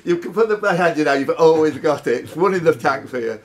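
A man in his fifties acts as quiz master, with a voice pitched a little high. He reads questions out to the players and teases them with jokey banter between items. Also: animated, fast talker, heavy background noise. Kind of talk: live pub quiz hosting, reading questions. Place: social club bar room.

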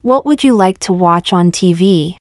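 A woman's voice: on the low side, animated, darkish tone, no room sound. Woman reading lesson questions, dry close. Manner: clear, measured question reading. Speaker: language lesson reader. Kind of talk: slow repeated example questions for learners.

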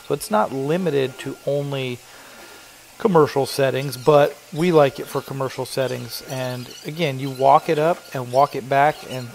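A man's voice: mid-range voice, steady tone, normal speed, gravelly, a little mellow, slight background noise. A middle-aged man who owns a cleaning business, giving a chatty, explanatory voiceover for his work vlog. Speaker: carpet cleaning business owner.

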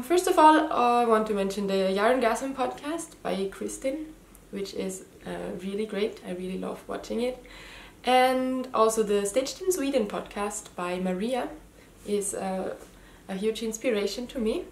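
A woman's voice: fairly high pitch, unhurried, few pauses. A woman in her thirties with a slight Austrian German accent, a native German speaker, hosting her first knitting video podcast from home in a casual, hesitant way with frequent ums. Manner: hesitant, many ums and restarts. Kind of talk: casual chat to camera. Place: living room at home. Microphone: camera mic, head and shoulders framing. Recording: echoey room.

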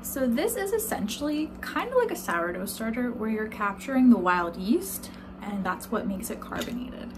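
A woman's voice: a little high, sing-song, normal speed, noisy background. A young woman in her twenties chatting casually as she shows homemaking projects, upbeat with frequent ums, filmed on a phone at arm's length at home. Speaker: homemaker vlogger. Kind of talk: casual how-to chat. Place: home kitchen and outdoors in snow.

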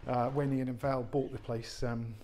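A man, an estate agent giving an unscripted walk-through tour of a warehouse flat, chatty and full of fillers and restarts. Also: medium pitch, normal speed, slight background noise. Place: large warehouse flat, indoors. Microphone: handheld phone camera, speaker off screen.

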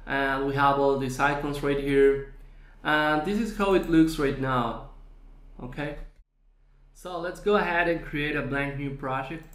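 A man presenting a screen-recorded software tutorial, explaining step by step with frequent fillers. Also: a little high. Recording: echoey room.

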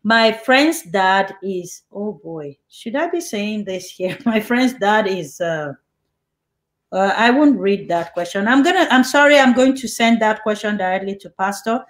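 A woman's voice: mid-range voice, animated, a little slow. Woman hosting a video-call Q&A. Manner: reads out viewer questions, warm laughs, affirming. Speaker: host. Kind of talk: online church Q&A interview.

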